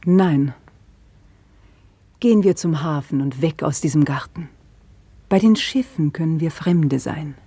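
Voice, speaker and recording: Breathy, troubled widow in a radio drama. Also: female voice, deep voice, dark tone, no echo, heavily acted. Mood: uneasy, pleading. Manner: breathy, halting, intense, often dropping to a whisper. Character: troubled, brooding widow Inés, estranged from her fiancé. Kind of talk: German radio play acting.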